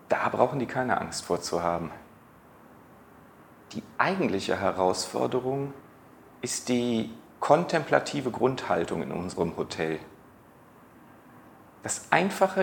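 A man giving a reflective monologue in an expressive way. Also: medium pitch, gravelly, slight background noise.

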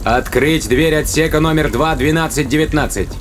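A man playing a sardonic, impatient spaceship captain, gruff and muttering, in a sci-fi audio drama. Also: a little high, normal speed, noisy background, natural voice. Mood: tense commanding. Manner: muttering to himself, sarcastic, gruff commands. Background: dramatic music and effects.

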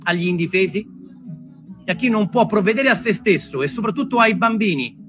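Male, high voice, theatrical storytelling, reflective monologue, dramatic pauses, background music. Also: expressive, fairly bright tone. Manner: dramatic pauses, shifts between slow and quick phrases. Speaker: storyteller, stage performer.

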